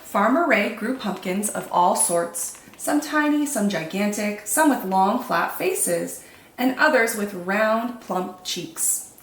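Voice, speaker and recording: Expressive woman reading picture books, roomy sound. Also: medium pitch, slow, a little hiss or hum. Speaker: children's storytime host and reader. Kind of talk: expressive picture-book narration.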